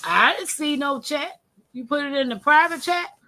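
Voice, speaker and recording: A woman's voice: high voice, lively intonation, moderate pace, bright, forceful tone. A woman, hosting a casual livestream chat and teasing her co-host with frequent laughter. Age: 40s.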